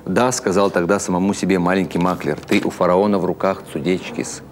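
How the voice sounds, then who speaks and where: Storybook role: small old male broker, low voice, wordy, indignant complainer, voiced by a male reader. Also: steady tone, quick pace, a little hiss or hum, natural voice. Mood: resigned. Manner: rhetorical, complaining.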